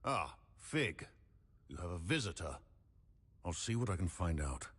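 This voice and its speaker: An actor playing a stern, incredulous man of authority in a video game, speaking formally and emphatically with a husky voice. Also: low-pitched, big pitch swings, unhurried, a bit bright, full character voice. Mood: grudging. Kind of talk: video game dialogue acting. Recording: long hall reverb.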